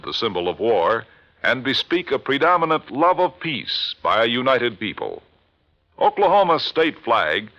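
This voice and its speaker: A man reading a scripted narration in a measured, stately way. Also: medium pitch, sharp tone. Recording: muffled old radio recording.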